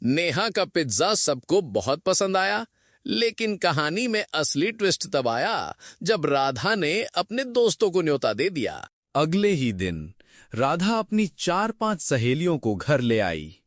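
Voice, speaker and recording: A man narrating a cartoon story in an expressive, dramatic way. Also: a little high, measured pace, no room sound, studio quality.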